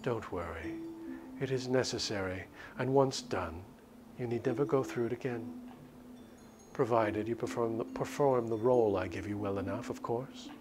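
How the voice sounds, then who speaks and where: A man's voice: mid-range voice, moderate pace, close to an everyday voice. A man voicing a sinister, coaxing vampire woman. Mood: haughty. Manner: pompous, falsely sweet, menacing.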